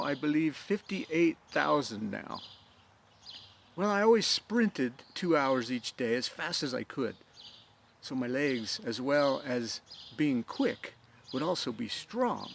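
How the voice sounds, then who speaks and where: A man puts on a driven young swordsman from a storybook, eager and earnest. His voice sits fairly high and leaps about as he rambles through his explanations, with a little noise behind him. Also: creaky, heavily acted. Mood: eager, reckoning. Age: young adult. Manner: eager, rambling, counting aloud.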